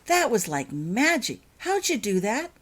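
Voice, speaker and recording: A woman's voice: fairly high pitch, big pitch swings, unhurried, fairly bright tone, slightly rough, voice pushed up, full character voice. An eager, curious little girl from a storybook, acted out by a woman, chattering with breathless wonder. The voice is lifted and swings up and down as she exclaims. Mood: excited wonder.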